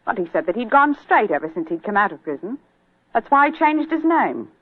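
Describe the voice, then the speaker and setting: An actress playing a cool, unsentimental wife in a 1940s radio drama, with a composed, matter-of-fact manner. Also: female voice, mid-range voice, very animated, brisk, no room sound. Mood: guarded. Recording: old lo-fi 1940s radio recording.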